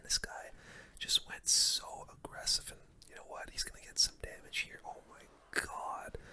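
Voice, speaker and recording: A gaming host speaks entirely in a breathy, very bright whisper. The delivery is ASMR gameplay commentary, loose and casual, peppered with gamer slang. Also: very high voice. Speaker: gaming content creator and host.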